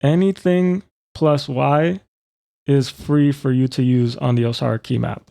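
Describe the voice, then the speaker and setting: Male software tutor, informal and methodical. Relaxed, fry-edged delivery, dry sound. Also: medium pitch, unhurried, no echo. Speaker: tutorial presenter. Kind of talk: informal step-by-step software demonstration.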